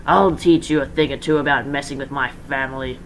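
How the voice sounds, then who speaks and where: A man's voice: mid-range voice, steady tone, fairly quick, fairly bright tone, a little hiss or hum, natural voice. A man voicing a cold, defiant, loyal male animatronic pirate fox in a fan-story reading. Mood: cold, threatening.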